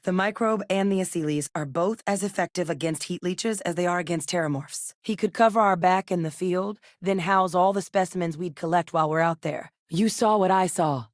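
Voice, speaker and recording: Woman acting a low-voiced, determined scientist-soldier. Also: brisk, hardly any pauses, no echo, studio quality, lightly acted. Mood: serious, measured. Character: seasoned, determined woman scientist and soldier, guarded but warm. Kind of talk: video game character dialogue.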